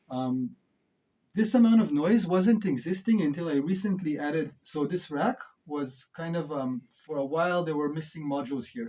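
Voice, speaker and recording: A man's voice: on the high side, dark tone, poor audio. A man giving a casual, off-the-cuff gear review as a presenter, speaking in an informal, opinionated way with some mild swearing. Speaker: presenter reviewing synth modules.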